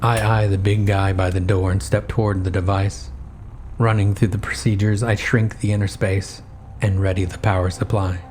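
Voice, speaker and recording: A man narrates a horror story in the first person. His voice is low and stays very even, with a faint noise behind it. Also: normal speed.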